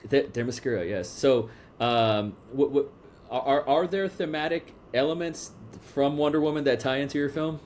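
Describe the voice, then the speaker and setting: A man's voice: mid-range voice, normal speed, a little mellow, slight background noise. A man hosting a podcast interview, asking long questions with many restarts and fillers. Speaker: podcast host. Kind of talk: casual interview.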